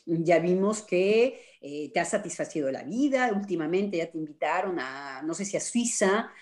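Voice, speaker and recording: A woman with a Mexican accent and a somewhat low voice speaks in a relaxed group discussion. She is ironic and animated, and she often pauses with hesitant fillers as she talks. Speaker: discussion participant. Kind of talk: casual discussion among colleagues.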